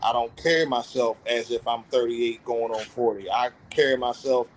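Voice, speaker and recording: Thirties man, podcast panelist: earnest, self-reflective, medium pitch, candid casual talk. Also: steady tone, normal speed, slight background noise, poor audio. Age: 30s. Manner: earnest, self-reflective, opens up about feelings.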